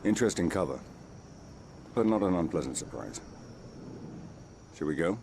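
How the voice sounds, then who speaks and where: A man's voice: deep voice, very animated, moderate pace, noisy background. A man with a British accent playing a cool, commanding secret agent in a video game, speaking in clipped, controlled phrases. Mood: suave. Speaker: actor in a spy action game. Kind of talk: cinematic video game acting.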